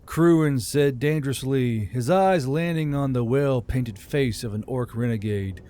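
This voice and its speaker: A man's voice: mid-range voice, unhurried, mellow. A man reading a scripted fantasy story as an audiobook narrator.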